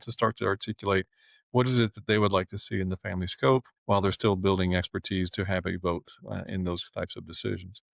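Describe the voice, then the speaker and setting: A man giving an explanatory business talk as an advisor, speaking in a measured, articulate way. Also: on the low side, gravelly, fairly bright tone, no room sound. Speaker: family office advisor.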